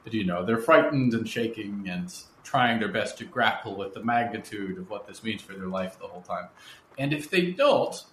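Low-voiced man interviewing in an echoey room. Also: expressive, moderate pace. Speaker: interviewer, podcast host. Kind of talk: conversational interview. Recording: roomy echo with background noise.

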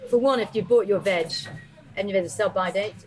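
A woman chef and cookbook author chatting casually about cooking, lively and laughing often. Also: medium pitch, a little fast, rough recording. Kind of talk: casual chat.

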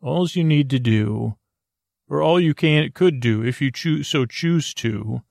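A man hosting a bedtime podcast, rambling slowly with many hesitations and restarts. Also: a little high, gravelly, darkish tone, dry recording, studio quality. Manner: meandering, drowsy, full of hesitations and restarts. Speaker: podcast host.

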